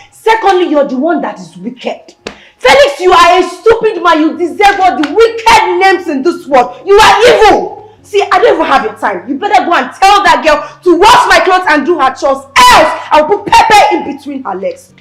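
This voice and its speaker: Bullying wife, played by a woman; high, shrill scolding, echoey room sound. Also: expressive, normal speed, music in the background. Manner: scolding, insulting, repeated angry questions. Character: bullying jealous wife, loud and threatening. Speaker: actress in a domestic skit.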